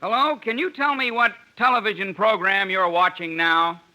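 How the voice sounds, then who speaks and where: A man's voice: high voice, steady tone, moderate pace, bright, forceful tone, full character voice, low-quality recording. An actor playing a polite, nosy man making survey calls in an old-time radio comedy. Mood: polite, inquiring. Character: polite, nosy, oddball male telephone survey caller.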